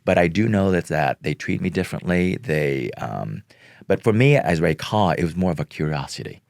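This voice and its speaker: A middle-aged man from Vietnam, Vietnamese his first language, speaks in a very low, gravelly voice. He talks slowly and earnestly as an interview guest, with many pauses and fillers. The sound is dry and close. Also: lively intonation, darkish tone, dry recording. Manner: slow, earnest, pausing often with fillers. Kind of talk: reflective interview talk.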